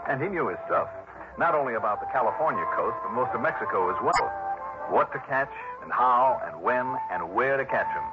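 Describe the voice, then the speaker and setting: A man's voice: medium pitch, lively intonation, brisk, natural voice. An American man playing a breezy, wry insurance investigator who narrates his case in a 1960s detective radio drama. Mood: wry narration. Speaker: lead actor and narrator. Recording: muffled old radio broadcast, music.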